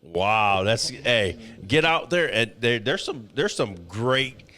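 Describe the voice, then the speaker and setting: A man's voice: medium pitch, very animated, slow pace, pushed and bright. A man hosting a podcast, upbeat and enthusiastic as he wraps up the talk. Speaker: podcast host.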